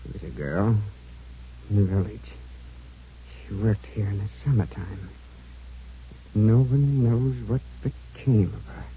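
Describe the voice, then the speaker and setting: An actor playing a disturbed, lonely young man, brooding and halting, in an old-time radio thriller. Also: on the low side, natural voice. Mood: brooding, menacing. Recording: muffled, noisy old radio recording, hum.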